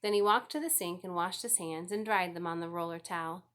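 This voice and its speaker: A woman's voice: on the low side, a little fast, fairly bright tone. A woman narrating a children's book aloud as a scripted story reading. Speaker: audiobook reader.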